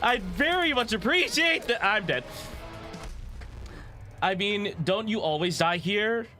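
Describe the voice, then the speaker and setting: A man with a raspy voice chatting casually and rambling in a self-deprecating way as he livestreams a game. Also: sits high, lively intonation, slow pace, pushed and bright, soft background music. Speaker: livestreamer, VTuber host. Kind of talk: casual gaming stream chat.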